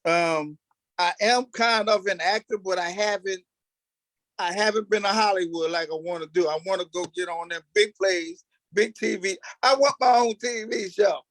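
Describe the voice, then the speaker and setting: A man's voice: high voice, normal speed, fairly bright tone, no room sound. A man who acts on stage, casually telling stories about himself as an interview guest. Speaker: stage actor being interviewed. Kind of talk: casual storytelling.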